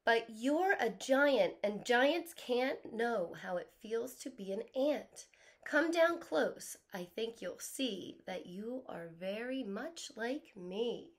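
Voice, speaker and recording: Woman voicing a tiny, slow-spoken pleading ant. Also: on the high side, animated. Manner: slow, earnest, emphatic rhymes. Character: tiny pleading ant, brave, earnest storybook insect.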